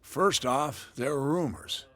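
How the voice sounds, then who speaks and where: An actor playing a wary male barkeeper in a video game, cagey and matter-of-fact, with a rough, husky voice. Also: medium pitch, slow, fairly bright tone. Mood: guarded. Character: wary, guarded male barkeeper passing on local rumors. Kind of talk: video game cutscene dialogue.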